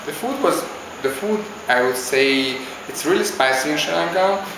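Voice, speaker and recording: A man from Hungary, answering interview questions in a halting, casual way as he searches for words. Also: on the high side, animated, background noise. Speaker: interviewee, visiting traveler. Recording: very echoey with clear background ambience.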